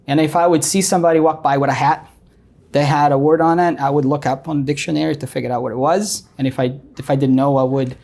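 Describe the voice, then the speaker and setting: A man's voice: on the high side, steady tone, quick pace, creaky, darkish tone. A middle-aged man from Brazil with a Brazilian Portuguese accent, a guest in an interview, telling his story earnestly with many ums. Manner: frequent ums and uhs, earnest.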